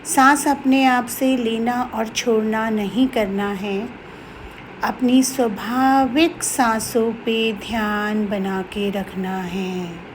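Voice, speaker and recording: An Indian woman leads a guided meditation at a very slow pace. Her voice sits a little high and she gives soothing instructions with long pauses. There is some ambient noise behind her. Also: poor audio. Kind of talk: guided meditation in Hindi with some English phrases.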